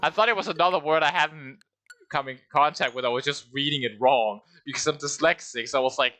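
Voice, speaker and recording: A man's voice: a little high, brisk, pushed and bright. A man streaming a game, rambling and joking at himself as he talks through it. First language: Danish. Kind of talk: casual game livestream commentary.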